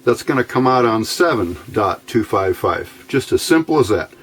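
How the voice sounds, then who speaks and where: A man with a fairly low voice walks through a hands-on hobby build. His delivery is informal and plainspoken. He thinks aloud in a folksy way, like an electronics tinkerer showing his work. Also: big pitch swings, moderate pace, fairly bright tone, a little hiss or hum.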